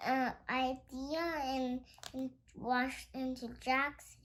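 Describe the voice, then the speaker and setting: High-pitched child reading slowly aloud. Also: a little hiss or hum. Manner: halting, stumbles over words. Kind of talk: halting read-aloud of a Christmas picture book.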